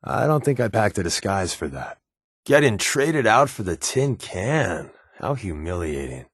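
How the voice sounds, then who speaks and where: Wry spy sidekick, lively swooping delivery. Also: male voice, lowish voice, unhurried, breathy edge, no room sound, clean studio sound, exaggerated voice. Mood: wry, joking. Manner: sardonic, casual, wisecracking. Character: wry, joking male spy companion with dry swagger. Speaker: video game voice actor. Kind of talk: scripted game companion dialogue.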